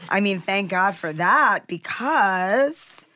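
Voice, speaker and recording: A woman's voice: mid-range voice, expressive, very slow, sharp tone, no echo. A woman with a sick, nasal voice hosting a studio podcast in a chatty, upbeat way. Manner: chatty and upbeat, thinks aloud. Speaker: podcast host. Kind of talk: casual chat. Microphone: studio mic.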